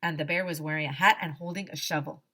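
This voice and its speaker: A woman teacher reading a children's story aloud in an expressive, emphatic way. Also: low-pitched, fast talker.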